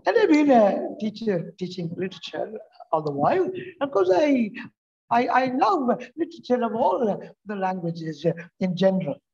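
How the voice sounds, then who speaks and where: An older man from India, a literature teacher whose first language is Tamil, giving a speech to students in Indian English, halting with many "uh" fillers and restarts. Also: high voice, sing-song, darkish tone, dull audio. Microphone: remote talk, unseen audience.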